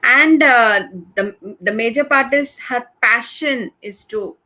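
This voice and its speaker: A woman from India hosting a talk show, introducing her guest enthusiastically with halting phrasing and fillers. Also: a little high, bright, forceful tone, rough recording. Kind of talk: casual interview hosting.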